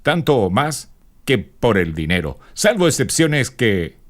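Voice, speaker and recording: An actor playing a suave, candid male film star answering in a dramatized magazine interview, with measured, courtly phrasing. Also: mid-range voice, sing-song.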